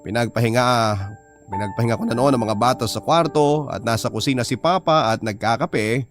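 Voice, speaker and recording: A man's voice: medium pitch, brisk. A man with a Filipino accent narrating a personal drama story in the first person, as a storyteller reading a letter. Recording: music bed under the voice. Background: prominent background music.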